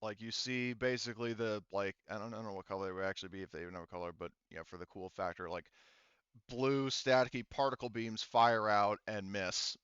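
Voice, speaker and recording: A man's voice: on the low side, steady tone, fairly quick, fairly bright tone, clear, resonant tone, no echo, highs cut off. A man acting as game master, casually narrating a tabletop game and muttering to himself as he checks rules and counts.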